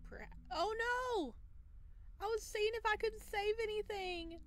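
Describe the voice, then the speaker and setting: A woman reading a visual novel aloud as a let's player, expressive, with casual chatty reactions. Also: very high voice, a little slow. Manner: expressive, exclaims and chats between read passages. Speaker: video game let's player.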